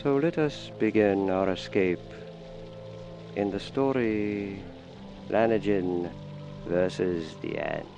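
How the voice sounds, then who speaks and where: Casting: male mystery-story host, deep, ominous, very unhurried scripted delivery, music underneath. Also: gravelly. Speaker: host introducing radio stories. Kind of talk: scripted atmospheric narration.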